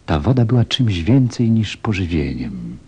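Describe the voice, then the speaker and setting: A man's voice: low voice, big pitch swings, normal speed, dark tone, husky, heavily acted. An actor playing a tender, thoughtful pilot in a radio play, a grown man speaking with reflective feeling. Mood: moved, reverent. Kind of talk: radio play acting and first-person narration.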